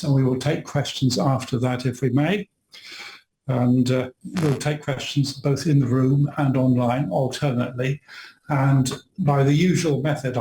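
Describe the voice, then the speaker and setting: A man's voice: medium pitch, dark tone, a little hiss or hum, rough recording. A man chairing a society lecture who introduces the speaker in a polite, formal, courteous way. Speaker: event chair. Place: lecture room with audience.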